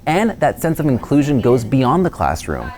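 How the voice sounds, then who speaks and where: A man's voice: medium pitch, wildly swooping pitch, normal speed, a little mellow. A man hosting a school district news show, presenting scripted segments in an upbeat, friendly way.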